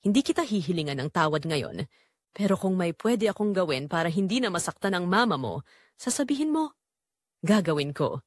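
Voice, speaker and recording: Woman acting pleading, remorseful mother, expressive pitch. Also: a little fast, dry recording. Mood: gentle, remorseful. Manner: pleading, apologetic. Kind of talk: dramatic audio story dialogue.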